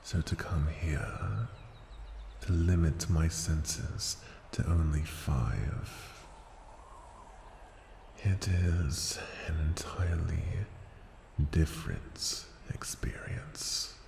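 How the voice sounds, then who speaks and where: A man voicing an ancient, haughty and possessive dragon in an intimate fantasy ASMR roleplay, speaking formally and deliberately with condescending tenderness and a breathy edge. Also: rumbling low, a little mellow, roomy sound, full character voice. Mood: wistful, reflective. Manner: slow, deliberate, formal, condescending, often dropping to a breathy whisper.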